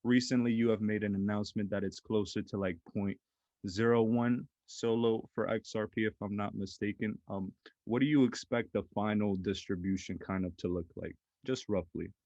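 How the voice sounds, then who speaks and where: A man with a fairly low voice hosts an interview, upbeat and encouraging as he asks his questions. The recording is dry and close, with no room sound. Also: steady tone, moderate pace, darkish tone, no room sound, dull audio. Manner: upbeat, encouraging, agrees often. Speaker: host. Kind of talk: casual interview hosting.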